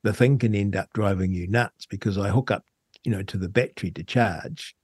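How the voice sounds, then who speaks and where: A man with a New Zealand accent talking in a casual radio discussion as host, with a hesitant, halting delivery. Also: lowish voice, breathy edge, no room sound, studio quality. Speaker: talk show host.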